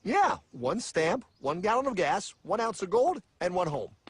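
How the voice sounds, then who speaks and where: Naive, flustered male everyman, acted by a man, cartoon style, high voice that jumps about, questioning delivery. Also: very animated, unhurried, slightly rough, exaggerated voice. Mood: dismayed. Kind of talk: animated cartoon voice acting.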